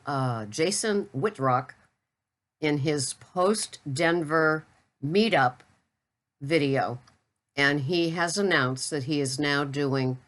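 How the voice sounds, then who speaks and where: An older woman with a low voice talks straight to camera in a loose, rambling vlog chat. She pokes fun at herself as she goes. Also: fairly bright tone. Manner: chatty, rambling, self-deprecating. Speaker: keto vlogger. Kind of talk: casual talking-head chat.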